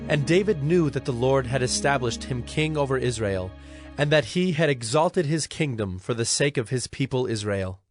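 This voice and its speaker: A man narrating scripture from a script in a formal, measured storytelling manner. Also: medium pitch, expressive, dry recording, faint music behind.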